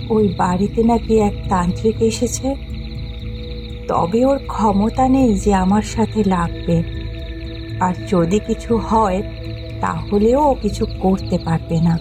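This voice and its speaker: A woman's voice: medium pitch, natural voice. A woman acting a vengeful, ruthless occultist in a horror audio drama, issuing commands with menace.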